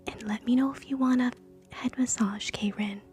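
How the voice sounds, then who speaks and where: A woman hosting live ASMR in a soft, breathy whisper, gentle and soothing, moving between guided relaxation and quiet chat. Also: fairly high pitch, steady tone, normal speed, faint music behind. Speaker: ASMR streamer, host.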